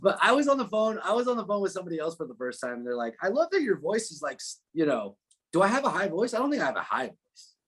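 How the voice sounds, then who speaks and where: A man with a slightly high, husky voice talks casually as a musician being interviewed. His delivery is animated and conversational, loose and unpolished. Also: fast. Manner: animated storytelling, frequent profanity and filler phrases. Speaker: musician guest.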